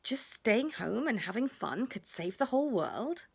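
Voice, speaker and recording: A woman's voice: fairly high pitch, very animated, unhurried, creaky, slightly rough, full character voice. A woman voicing a curious, eager little boy in a children's storybook, questioning and childlike. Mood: amazed. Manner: questioning, wide-eyed, childlike.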